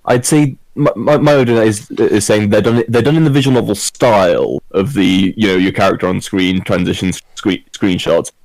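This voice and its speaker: A man's voice: fairly low pitch, moderate pace, few pauses, a little mellow, dry recording, poor audio. A man giving his view in a casual chat as a podcast panelist.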